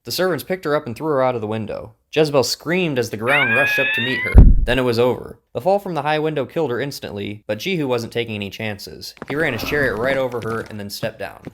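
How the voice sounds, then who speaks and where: A man narrating a dramatized story with an expressive, dramatic delivery. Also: mid-range voice, fast talker, hardly any pauses, very noisy. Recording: narration over music.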